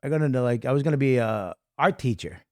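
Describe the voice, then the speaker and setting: Rambling Boston comic telling stories. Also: male voice, mid-range voice, animated, no echo, studio quality. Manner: rambling, self-deprecating, halting restarts. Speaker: guest comedian. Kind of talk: interview storytelling.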